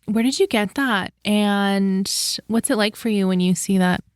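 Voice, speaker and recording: A woman's voice: medium pitch, unhurried, darkish tone, clear, resonant tone, dry recording, studio quality. A woman from Canada hosting a podcast, asking curious, attentive follow-up questions. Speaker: podcast host. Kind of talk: interviewing a guest.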